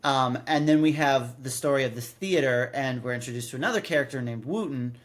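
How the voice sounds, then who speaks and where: A man in his late thirties with a mid-range voice co-hosts a podcast. He talks in a casual, conversational way, stammering and repeating the start of his phrases as he works toward his point. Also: mid-range voice. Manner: halting, stammering as he explains. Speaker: podcast co-host. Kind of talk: casual chat.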